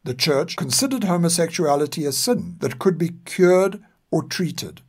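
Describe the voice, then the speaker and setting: An older man reads scripted historical narration in a measured, formal and serious way. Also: fairly high pitch, animated, a little mellow, slightly rough. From South Africa. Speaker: narrator and podcast author.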